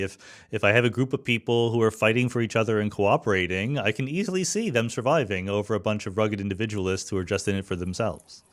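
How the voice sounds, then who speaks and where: A man hosting a podcast interview, talking casually and plainly as he thinks a point through. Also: lowish voice. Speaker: podcast host.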